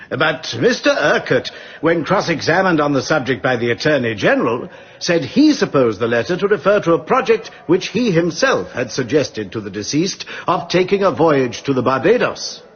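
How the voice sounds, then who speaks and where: An actor playing a stern, pompous judge in a radio drama, addressing a jury with a husky voice and deliberate delivery. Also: male voice, fairly high pitch, very animated, dull audio. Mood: solemn, pointed. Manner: deliberate, magisterial, moralising. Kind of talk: British radio drama acting.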